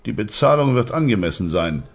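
An actor playing an imperious, menacing boss in a German radio drama, speaking with formal, suave command. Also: male voice, on the low side, sing-song, fairly quick. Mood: cold, threatening. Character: imperious, menacing male boss of shady dealings.

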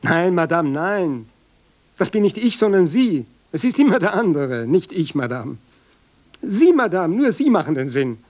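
Radio play: fussy, effusively courteous gent, highish and very animated. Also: male voice, measured pace. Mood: flustered, obsequious. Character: over-polite, flustered man fending off a caller. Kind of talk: radio drama acting.

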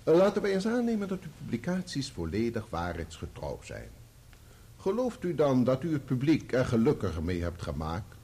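A polished, sardonic barrister in a radio drama. His voice is mellow and slightly rough, with lively swings of pitch. He speaks formally and courteously, with an edge of irony. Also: normal speed, exaggerated voice. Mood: ironic probing. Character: suavely sardonic male defence barrister in court. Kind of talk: radio play courtroom acting.

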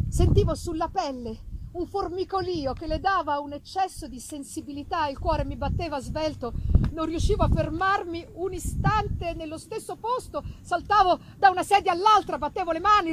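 High-pitched woman reading dramatic fiction. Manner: theatrical, deliberate, expressive. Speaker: reader. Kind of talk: dramatic first-person literary narration. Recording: noisy live festival recording.

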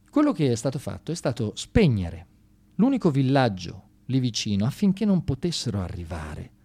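Male stage monologist, mid-pitched, animated and sardonic, conversational storytelling. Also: husky. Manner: animated, swinging from shouted exclamations to hushed asides; sardonic, colloquial. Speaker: theatre monologist. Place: live theatre performance.

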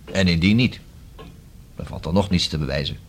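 A male actor in a Dutch radio play as a probing, self-assured police inspector who questions deliberately and dryly, with a husky voice. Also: low-pitched. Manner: deliberate, rhetorical questioning with emphatic repeats.

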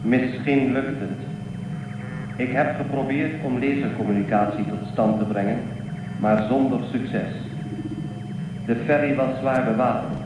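An actor playing an earnest, deliberate male spaceship intelligence in a Dutch science fiction radio drama. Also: medium pitch, lightly acted. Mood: grave, determined. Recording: very echoey, distant, loud noise.

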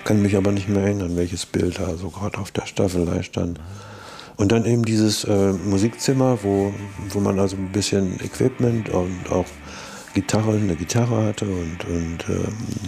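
Interviewee: older man, low mellow voice, matter-of-fact, hesitant phrasing. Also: steady tone, normal speed, gravelly, faint music behind. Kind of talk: interview recollection.

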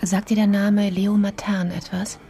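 An actress playing a sharp female prosecutor in a radio play, probing and sarcastic as she argues with herself. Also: mid-range voice, little pitch movement, unhurried, close to an everyday voice. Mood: insistent. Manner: probing, sarcastic inner monologue. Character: sharp, self-questioning female public prosecutor, adult. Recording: music behind the voice.

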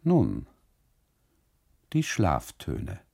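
A man's voice: low-pitched, wildly swooping pitch, extremely slow, creaky, mellow, husky, heavily acted. A man voicing a suspicious, scolding Italian custodian in a radio play, pointed and insistent in his reproaches. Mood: stern reproach.

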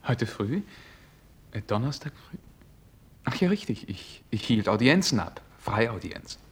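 An actor playing a courteous, naive young prince in a German radio play, speaking formally and earnestly with a hesitant, easily flustered manner. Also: male voice, mid-range voice, sing-song, measured pace, husky, full character voice.

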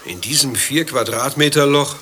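An actor playing a prim, touchy, nervous gentleman visiting a zoo in a radio play, fussy and stiffly formal in his speech. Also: mid-range voice, expressive, normal speed, a little hiss or hum. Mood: musing.

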